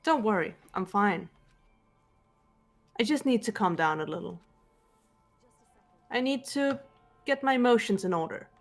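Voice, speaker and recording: A woman's voice: mid-range voice, lively intonation, soft background music. A woman voicing a composed, guarded, analytical teenage girl detective in a fan-dubbed game. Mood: guarded, strained. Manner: measured, reserved, matter-of-fact delivery. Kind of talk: fan voice acting of a visual novel game.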